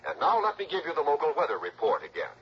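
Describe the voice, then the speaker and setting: An actor playing a brisk, gossipy male local newscaster, announcing in a declamatory 1960s radio news style. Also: on the high side, wildly swooping pitch. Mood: brisk, insinuating. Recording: dull, faintly noisy old radio recording.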